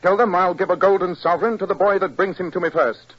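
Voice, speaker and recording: An actor playing a self-assured British gentleman detective in a clipped, precise 1940s radio style. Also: high-pitched, fairly even intonation, fast talker, heavy background noise, natural voice. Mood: brisk, intent. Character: brisk, keen-minded English gentleman detective, self-assured. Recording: dull, roomy 1940s radio recording.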